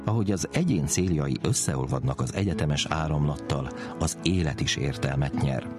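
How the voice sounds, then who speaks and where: A man's voice: very deep voice, music in the background. A man reading scripted documentary narration as the narrator of a programme.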